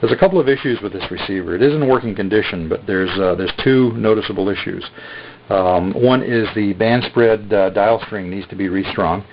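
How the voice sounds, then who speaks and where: A man demonstrating a radio he is selling in a casual, halting way, filling pauses with lots of "uh". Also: on the low side, a little hiss or hum. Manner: halting, many "uh" fillers. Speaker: radio seller demonstrating a receiver. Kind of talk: casual product demo. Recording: dull lo-fi recording.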